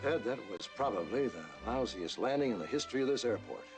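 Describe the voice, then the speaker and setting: A man's voice: medium pitch, lively intonation, fast talker, music in the background, close to an everyday voice. A man playing an intense, hard-boiled flight captain in a spoof disaster film, commanding and mock-serious. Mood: gruff approval.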